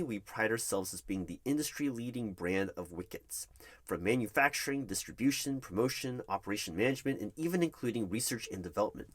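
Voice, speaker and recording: A man's voice: medium pitch, close to an everyday voice. A man playing a nervous, polite corporate hiring manager in an ASMR roleplay, speaking formally with some hesitation. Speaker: roleplay performer. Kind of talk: one-sided ASMR roleplay of a job interview.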